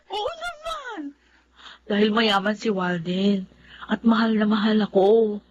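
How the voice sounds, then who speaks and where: A woman's voice: medium pitch, big pitch swings, unhurried, slight background noise. An actress playing a headstrong young woman in a radio drama, talking in a dismissive, defiant way.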